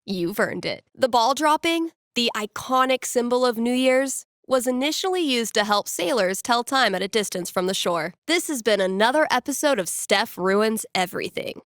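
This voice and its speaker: A woman's voice: on the high side, fairly bright tone, no room sound, clean studio sound. A woman playing a wry, playful young small-town radio DJ in a video game, talking casually with teasing asides.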